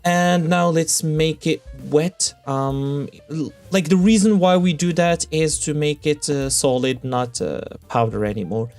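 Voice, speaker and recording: Young man, ill, cracky rough voice, slightly high, halting gaming narration over soft guitar. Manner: halting, many fillers and self-corrections. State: sick, voice cracking. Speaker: Minecraft builder narrating his build. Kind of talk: casual gameplay commentary.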